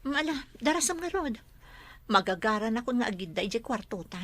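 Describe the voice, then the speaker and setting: A woman's voice: on the high side, very animated, a little fast, dry recording. An actress playing a greedy, scheming woman in a radio drama, with an Ilocano accent and a demanding, indignant manner. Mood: impatient. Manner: sharp, demanding.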